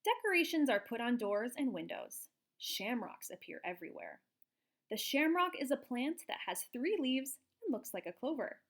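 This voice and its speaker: A woman reading a picture book aloud to young children in an expressive, explanatory way, like a teacher. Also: sits high, moderate pace. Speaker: children's storybook reader, teacher.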